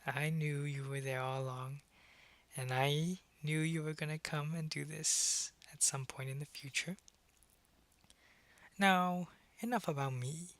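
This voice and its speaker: A man playing a suave, calculating vampire businessman in an ASMR roleplay, speaking in a measured, smug and politely menacing way. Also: a little high, steady tone, a bit bright, dry recording. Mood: coolly amused. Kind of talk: ASMR roleplay audio drama.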